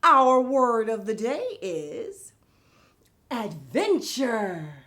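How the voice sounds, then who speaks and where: A woman playing a bubbly, excitable vocabulary teacher for a children's show, with exaggerated gasps and giggles. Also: on the high side, drawn-out words, heavily acted. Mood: gleeful.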